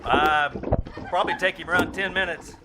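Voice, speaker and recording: A man's voice: sits high, steady tone, moderate pace, close to an everyday voice. A man acting as a flippant, wisecracking sidekick in an old-time radio comedy. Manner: flippant, quipping. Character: wisecracking, unhelpful male sidekick. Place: small-town theater stage, live performance. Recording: noisy live theater stage recording.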